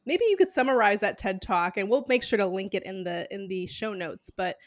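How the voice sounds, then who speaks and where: A woman's voice: medium pitch, brisk. A woman hosting a podcast, asking questions in a thoughtful, loosely phrased way with many fillers. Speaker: podcast host. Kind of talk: conversational interview.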